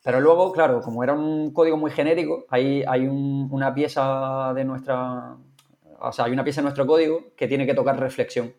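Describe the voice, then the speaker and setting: A man's voice: medium pitch, little pitch movement, brisk, darkish tone. A man chatting casually as a podcast co-host, thinking aloud with frequent restarts. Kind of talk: casual chat.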